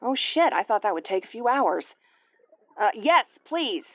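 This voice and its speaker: A woman's voice: fairly high pitch, sing-song, unhurried, dry recording, highs cut off. A woman playing a brash, easily flustered starship commander with a tomboyish, brusque, sweary delivery in an audio roleplay. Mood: tense. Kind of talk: audio roleplay acting, spoken to the listener.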